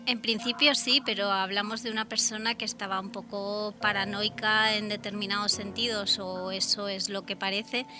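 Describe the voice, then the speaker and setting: A woman's voice: mid-range voice, little pitch movement, normal speed, hardly any pauses, over music. A woman with a Spain Spanish accent discussing true crime conversationally as a radio co-host.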